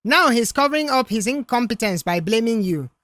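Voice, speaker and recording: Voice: mid-range voice, animated, fairly quick, fairly bright tone, no echo, pitched above the performer's own voice, lightly acted. A narrator voicing a brash, scornful middle-aged mother who scolds dramatically in an audiobook. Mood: scornful, triumphant.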